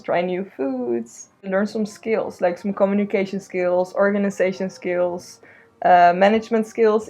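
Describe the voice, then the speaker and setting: A woman's voice: mid-range voice, warm tone. A young woman from the Netherlands, a student chatting cheerfully in an interview and laughing between phrases. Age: young adult. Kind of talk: casual chat.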